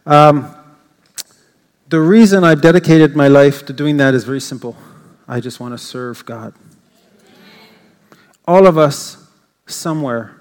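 An older English Canadian man giving an informal, rambling church talk, with frequent fillers and restarts. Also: mid-range voice. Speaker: guest speaker. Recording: reverberant church hall.

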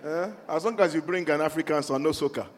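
A man's voice: on the high side, fast talker, a little hiss or hum. A man with a Nigerian accent, preaching in a church and telling funny stories to the congregation. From Nigeria (born in London). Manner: humorous storytelling, animated, working the congregation. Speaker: pastor. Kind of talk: sermon. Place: church hall.

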